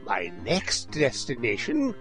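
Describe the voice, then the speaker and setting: An actor playing a pompous male detective, telling his exploits theatrically and deliberately in a comic radio play. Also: mid-range voice, huge pitch swings, music in the background. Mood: deadpan recounting.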